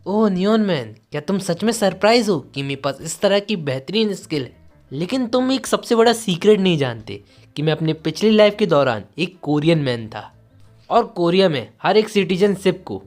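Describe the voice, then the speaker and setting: A man's voice: high voice, big pitch swings, moderate pace, a little mellow, faint music behind. A man voicing a smug, confident young lord with a cocky manner in a manga story.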